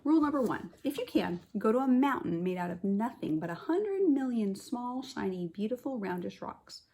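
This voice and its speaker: A woman kindergarten teacher reading a picture book aloud, with expressive stressed words and chatty asides to the children. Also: on the high side, moderate pace, a little hiss or hum.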